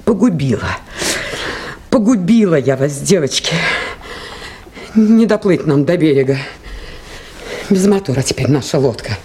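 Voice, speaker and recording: An actress playing a remorseful, weary woman who leads a river crew, speaking gravely and haltingly in a Soviet radio play. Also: sits low, lively intonation, warm tone. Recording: noisy 1980s radio play, boat sounds.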